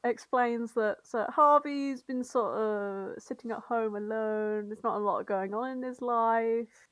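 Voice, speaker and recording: A woman's voice: fairly high pitch, no room sound, rough recording. A woman co-hosting in casual comic chat, giggly, sweary and self-deprecating as she recaps what she has been reading. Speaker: podcast co-host.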